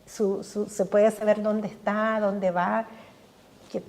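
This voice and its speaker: A woman's voice: mid-range voice, flat delivery, takes it slowly, a little mellow. An older woman speaking as a guest in a podcast interview, enthusiastic and often stumbling over repeated words. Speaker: guest scientist.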